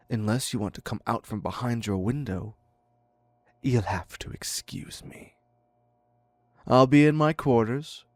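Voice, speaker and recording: A man's voice: on the low side, a little mellow, no room sound. A man playing a theatrical, clingy serial killer in an audio roleplay, wry and mock-courteous as he dotes on his captive. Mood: wry, dismissive. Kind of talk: scripted dark romance audio roleplay.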